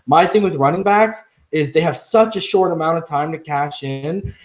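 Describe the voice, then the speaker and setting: A man's voice: on the high side, moderate pace. A man co-hosting a casual sports talk show, emphatic and opinionated, often tagging "man" onto his points.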